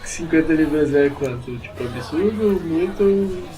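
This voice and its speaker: A man's voice: on the high side, lively intonation, unhurried, poor audio. A young man with a Brazilian accent chatting casually as a player in a tabletop roleplaying game.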